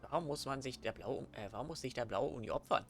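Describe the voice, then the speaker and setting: A man's voice: medium pitch, fast, gravelly, faint music behind. A man who is a native German speaker, giving casual, playful let's play commentary with short asides. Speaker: let's player. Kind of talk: casual gaming commentary, voicing game dialogue.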